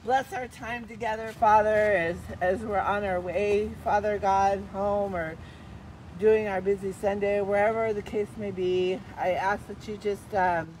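A woman's voice: mid-range voice, fairly even intonation, measured pace, darkish tone, a little hiss or hum, poor audio. A woman leads a passionate, spontaneous closing prayer at a church service with a powerful voice and no microphone, and she keeps coming back to "just" and "Father.". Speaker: church member leading prayer.

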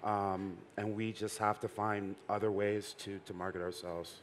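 A middle-aged man on a conference panel, speaking in a measured, businesslike way. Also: on the low side, little pitch movement, slight background noise. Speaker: panelist, VR adult company. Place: stage at a conference hall.

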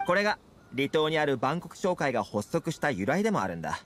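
A man voicing an easygoing, confident young fixer in a Japanese video game, talking smoothly and persuasively. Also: a little high, big pitch swings, brisk, a bit bright, slight background noise. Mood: easygoing, friendly. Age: young adult.

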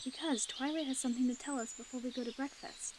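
A woman's voice: high voice, fairly even intonation, fast talker, natural voice. A woman voicing an earnest, anxious young unicorn student in a cartoon audio drama. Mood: gentle urging. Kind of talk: animated fan audio drama.

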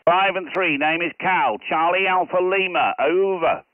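A man's voice: high-pitched, measured pace, narrow, tinny audio, background noise. A middle-aged man operating a ham radio station from a home shack, calling out call signs on a headset and chatting casually. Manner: calls out phonetic call signs loudly, then chats to himself. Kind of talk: live radio contacts and casual commentary.

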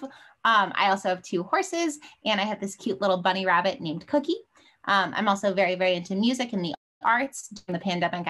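A woman's voice: mid-range voice, a bit bright. A young woman, a teaching assistant, giving an informal, upbeat self-introduction to students over a video call. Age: young adult.

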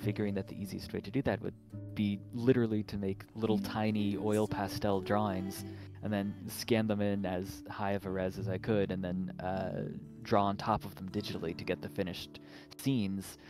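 A man's voice: on the low side, little pitch movement, moderate pace. A man talking casually as a game developer in an online interview, often chuckling as he goes. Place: online voice call. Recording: music playing under voice. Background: plucked guitar music.

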